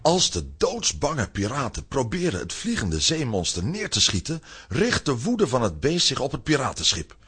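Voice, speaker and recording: A man narrating a children's adventure story from a script in a husky voice with dramatic delivery. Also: mid-range voice, wildly swooping pitch, normal speed, a bit bright, a little hiss or hum.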